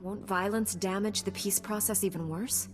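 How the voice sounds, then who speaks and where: Game heroine: actress as young Jedi knight, mid-pitched, earnest, resolute. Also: female voice, steady tone, normal speed, music in the background, lightly acted. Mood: concerned. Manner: earnest, direct.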